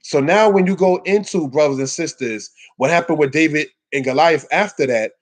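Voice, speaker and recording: Higher-pitched man preaching a scripture lesson. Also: moderate pace. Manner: exhorting, emphatic, with rhetorical questions. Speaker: religious teacher giving a scripture lesson. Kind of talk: sermon, informal Bible teaching addressed to a congregation.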